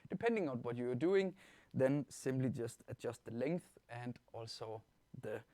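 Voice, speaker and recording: A man with a Danish accent giving an informal software tutorial as a webinar presenter, explaining things step by step in a reassuring way. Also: mid-range voice, unhurried, no echo. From Denmark. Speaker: webinar presenter, product trainer.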